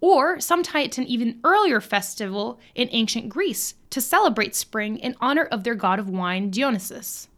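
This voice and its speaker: An American woman co-hosting a podcast, reading prepared history facts in a clear, upbeat way. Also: a little high, expressive, moderate pace, hardly any pauses, a bit bright. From United States. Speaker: podcast co-host. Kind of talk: scripted podcast narration.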